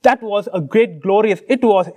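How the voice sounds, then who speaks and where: A man with an Indian accent delivers an earnest sermon in English, his voice sitting unusually high for a man. He speaks in short phrases and pauses after each one so an interpreter can follow. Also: warm tone. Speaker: preacher.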